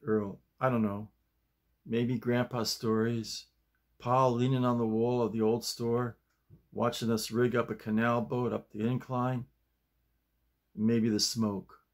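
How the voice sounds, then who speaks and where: Man around 70, medium pitch, unhurried and halting, plain home-recorded read or chat. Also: little pitch movement. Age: older, around 70. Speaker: YouTube host and playwright reading own work. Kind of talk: script reading and casual vlog talk. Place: small home office, indoors.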